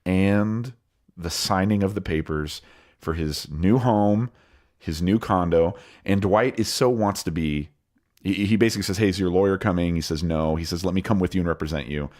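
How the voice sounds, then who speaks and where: A man's voice: deep voice, lively intonation, moderate pace. A man co-hosting a casual podcast, getting over an illness, recapping episodes in a loose, laid-back way. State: recovering from illness, deeper voice. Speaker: podcast co-host.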